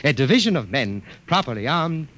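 An actor playing a guarded, intense revolutionary in an old-time radio drama, speaking formally and emphatically. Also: male voice, on the high side, big pitch swings, fairly quick, a bit bright. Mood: intense, conspiratorial. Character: guarded, intense male revolutionary, the scientist's son.

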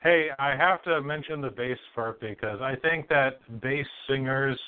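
A man's voice: medium pitch, a little slow, smooth. A man hosting a show, introducing and casually questioning a guest with fillers like "you know". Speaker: show host. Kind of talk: remote interview hosting. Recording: tinny call line.

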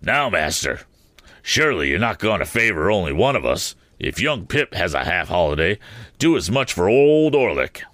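A gruff, sullen journeyman smith who growls out his resentment, as a man reads him aloud. The voice sits low, and the sound is dry and close. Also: sing-song, moderate pace, a bit bright, dry recording. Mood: surly. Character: gruff, surly young blacksmith's journeyman.

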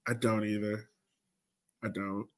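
A man's voice: fairly low pitch, flat delivery, a little slow. An American man hosting a casual sports podcast, speaking colloquially and repeating phrases for emphasis. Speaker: podcast host.

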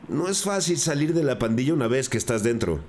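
An actor playing a cornered gang drug dealer in a crime audio drama, defensive, earnest and pleading. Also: male voice, medium pitch, animated, brisk, darkish tone, lightly acted.

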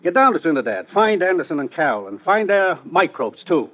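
Brisk commissioner, highish animated voice. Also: male voice. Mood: urgent briefing. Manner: authoritative, dryly teasing. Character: brisk, authoritative government commissioner briefing his agent. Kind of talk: 1950s radio drama acting. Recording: dull vintage radio recording.

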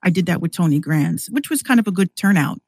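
A woman's voice: fairly low pitch, lively intonation, quick pace, darkish tone, breathy edge, no room sound, clean studio sound. A woman who streams and podcasts, chatting casually as a guest on a show.

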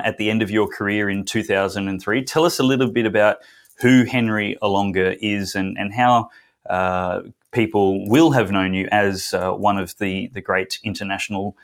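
A man hosting an interview show, reading a statement firmly and then asking questions with many hesitations. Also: on the low side. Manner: firm read-aloud delivery; halting filler-heavy questions. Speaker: host. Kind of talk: podcast interview.

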